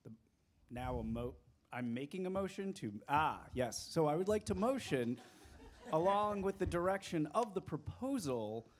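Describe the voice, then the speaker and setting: A man with a medium-pitched voice speaks from the floor of a meeting. He puts a motion haltingly, feeling for the formal phrasing. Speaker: meeting participant making a motion.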